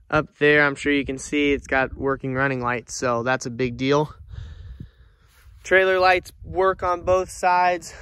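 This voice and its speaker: Bright-voiced young man vlogging outdoors. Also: a little high, smooth, no room sound, clean studio sound. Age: young adult. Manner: excited, grateful, rambling. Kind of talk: casual selfie vlog. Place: outdoors in open country, then in a truck. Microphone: phone at arm's length.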